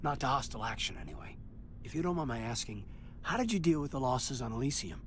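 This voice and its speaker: A man's voice: mid-range voice, lively intonation, fast, fairly bright tone, natural voice. A man playing an earnest, reserved young lieutenant in a video game, speaking formally and sincerely. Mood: somber, regretful. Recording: clear background ambience noise.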